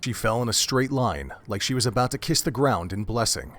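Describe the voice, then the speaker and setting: A man narrating a gruesome horror audio drama in a measured, dramatic storytelling manner. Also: mid-range voice, expressive, fairly bright tone.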